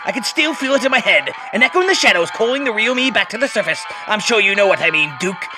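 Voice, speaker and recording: A man voicing a sinister, gloating comic book supervillain with a mocking, drawn-out delivery. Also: high-pitched, lively intonation, music in the background. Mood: sinister, taunting. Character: sinister taunting male supervillain, gloating and unhinged.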